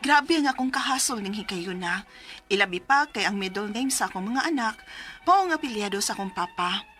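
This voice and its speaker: A woman's voice: medium pitch, lively intonation, brisk, over music, lightly acted. A woman acting a troubled mother earnestly reading her letter for advice in a radio drama, with a Cebuano accent. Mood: troubled, pleading.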